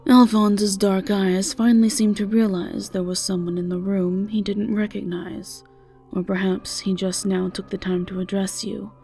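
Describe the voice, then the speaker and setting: A woman's voice: medium pitch, level tone, moderate pace, warm tone, faint music behind. A woman narrating a scripted fantasy audio roleplay as a storyteller.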